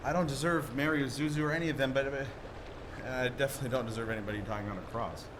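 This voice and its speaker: An actor playing an excitable, stammering family man in the style of 1940s radio drama. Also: mid-range voice, rapid-fire, natural voice. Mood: anguished, pleading. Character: desperate, then joyously exuberant family man. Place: live performance in a church. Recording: roomy, noisy live stage recording.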